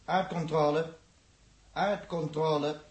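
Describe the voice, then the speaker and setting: A man's voice: a little high, fairly even intonation, slow, darkish tone. An actor playing an informal, grumbling crewman aboard a spaceship in a 1960s radio drama. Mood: busy, bemused. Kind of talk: 1960s Dutch science fiction radio drama acting. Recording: echoey, faintly noisy vintage radio recording.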